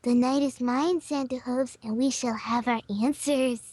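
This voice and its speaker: A woman's voice: sits high, fairly even intonation, measured pace, darkish tone, dry recording. A woman reading a story aloud in a playful, theatrical manner. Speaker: podcast guest, voice actor.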